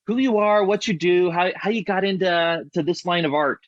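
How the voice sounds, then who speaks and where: A man's voice: sits high, moderate pace, no echo. A man hosting an interview podcast, friendly and full of hesitant fillers. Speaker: podcast host. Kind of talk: casual interview chat. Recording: dull lo-fi dry sound.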